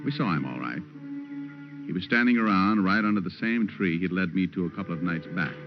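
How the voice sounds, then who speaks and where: Hard-boiled sardonic private eye, low American voice, old-time radio drama narration, wry slangy delivery, dull vintage recording with music behind. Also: male voice, animated, brisk. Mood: wry, cool. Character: wisecracking hard-boiled male private detective, cool and sardonic. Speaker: actor playing narrator and lead detective. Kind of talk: 1940s-50s radio detective drama acting.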